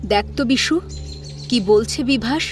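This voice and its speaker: A woman's voice: fairly high pitch, measured pace, soft background music, natural voice. A woman playing a troubled, mild family matriarch in an audio drama, speaking with anxious reproach. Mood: dismayed. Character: troubled, mild mother-in-law, dismayed matriarch. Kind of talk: Bengali audio story acting.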